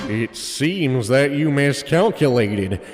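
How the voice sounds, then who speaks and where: A man's voice: medium pitch, lively intonation, slow pace. A man voicing a pompous, patriotic superhero captain, bombastic and declamatory, in an audio comedy drama. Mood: heroic, booming. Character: pompous patriotic male superhero captain, broad and square-jawed. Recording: reverberant hall sound under clear music.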